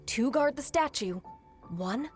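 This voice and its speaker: A woman's voice: high-pitched, expressive, slow pace, over loud music. A woman playing a composed, businesslike young female informant in an anime game.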